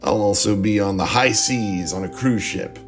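A man's voice: on the low side, normal speed, over music. A middle-aged man hosting a podcast, losing his voice, rambling through a joking, self-mocking sign-off with frequent laughs. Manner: jokey, laughs often, self-deprecating. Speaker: podcast host. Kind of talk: casual rambling podcast outro.

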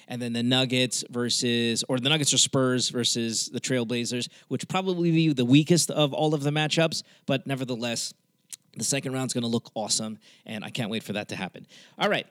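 A man's voice: medium pitch, no room sound, clean studio sound. A man hosting a podcast call-in show, chatting casually and bluntly with plenty of swearing. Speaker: podcast host taking calls. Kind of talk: casual, profane talk-show chat.

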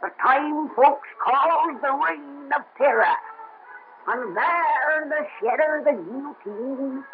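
Cast: cackling old witch storyteller, high and slow, folksy drawl, old radio play. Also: vocal fry, full character voice. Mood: gleeful, ominous. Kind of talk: 1930s radio horror acting. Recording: tinny, noisy old radio broadcast.

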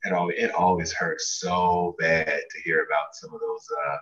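A man's voice: very deep voice, little pitch movement, normal speed, a bit bright. A middle-aged man talking casually and emphatically about sports as a podcast guest, often repeating words for stress. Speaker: podcast guest, basketball association president. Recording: muffled, roomy, distant mic.

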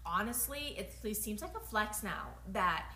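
A woman's voice: medium pitch, expressive, normal speed, bright, forceful tone. A woman whose first language is Thai, chatting casually and emphatically as a podcast co-host. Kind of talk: casual chat. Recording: echoey room.

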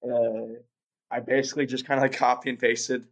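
A young man, born in Russia, talks through an interview in a relaxed, meandering way. His voice sits at an ordinary middle pitch. He fills pauses with "um" and "you know" and often restarts his sentences. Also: lo-fi sound. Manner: rambling, many fillers and restarts. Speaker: guest. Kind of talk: casual interview chat.

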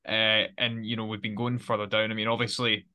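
Bright-voiced male podcast host. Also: on the low side, flat delivery, brisk. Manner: friendly, repeats words as he restarts. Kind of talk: casual podcast chat.

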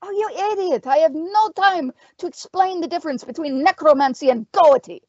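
A woman's voice: fairly high pitch, measured pace, a little mellow, dry recording, highs cut off. A woman voicing a haughty, scornful witch in a fantasy story, snapping and imperious. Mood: scornful, furious. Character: sharp-tongued, haughty female witch, scornful and fierce. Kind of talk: fantasy audiobook character.

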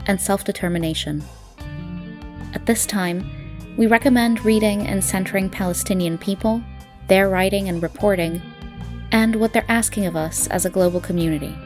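A woman reading a scripted podcast introduction as the host. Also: fairly low pitch.

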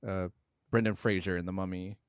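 A man's voice: low voice, flat delivery, brisk, clean tone, no echo, clean studio sound. A man co-hosting a casual film discussion, speaking bluntly and informally with some swearing. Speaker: podcast co-host.

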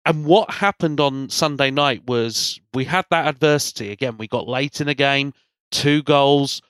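A man's voice: mid-range voice, normal speed, fairly bright tone, clean tone, no echo. A man with a congested, cold-affected voice hosts a sports podcast, recapping matches in a rambling, enthusiastic, conversational way.

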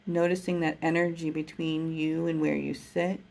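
A woman guiding a breathing meditation, speaking in a slow, soothing, gentle way. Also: low voice, flat delivery, creaky. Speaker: meditation guide.

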